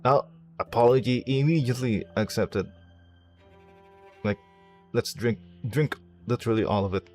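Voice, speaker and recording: A man's voice: medium pitch, normal speed, pauses often, a little mellow. A man giving casual gameplay reactions, joking and self-deprecating, often stammering and exclaiming as he goes. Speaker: video game streamer. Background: game music and dialogue.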